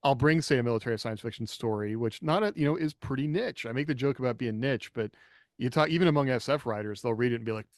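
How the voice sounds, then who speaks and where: A man's voice: medium pitch, fast, no echo, studio quality. A man co-hosting a casual podcast discussion, rambling loosely and chuckling as he goes. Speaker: podcast co-host and writer.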